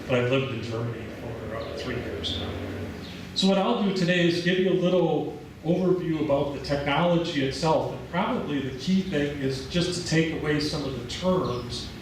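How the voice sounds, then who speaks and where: A man's voice: a little high, moderate pace, background noise. A man with an American accent giving a conference presentation, explaining at an easy pace with some fillers. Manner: relaxed explanatory speaking with fillers. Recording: echoey hall, mic at a distance.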